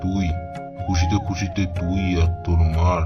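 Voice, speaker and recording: A man's voice: deep voice, flat delivery, normal speed, close to an everyday voice. A man voicing a mysterious, benevolent holy man in a cartoon, speaking in solemn, emphatic pronouncements. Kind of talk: cartoon voice acting. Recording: dull lo-fi track under loud music.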